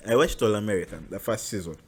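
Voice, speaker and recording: A young man with a Ugandan accent chats on a podcast. He tells animated stories and keeps things loose and casual. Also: fairly low pitch, fast, breathy edge. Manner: chatty, animated storyteller. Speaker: podcast host. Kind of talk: casual chat.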